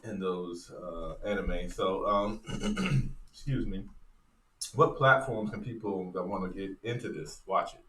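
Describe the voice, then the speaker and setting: A man's voice: low-pitched, measured pace, a bit bright. A man hosting a casual interview, asking his questions in an informal, easygoing way. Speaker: podcast host. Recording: roomy, distant mic, faint background noise.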